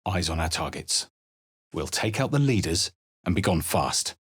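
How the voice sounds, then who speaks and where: A husky, wary adventurer hero. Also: male voice, low voice, very animated, moderate pace, fairly bright tone, dry recording, studio quality, heavily acted. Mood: wary, wry. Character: guarded adventurer hero, wry, suspicious, casts Latin spells. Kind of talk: video game character voice lines.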